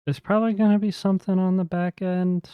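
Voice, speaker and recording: An enthusiastic man co-hosts a casual music podcast, swearing freely as he raves about records. His voice is smooth and resonant but sounds worn from talking, and it is captured in a dry, close recording. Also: sits high, level tone, brisk, no room sound, lo-fi sound. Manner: enthusiastic, swearing, laughing often. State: losing his voice. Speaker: podcast co-host. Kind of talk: casual music review chat.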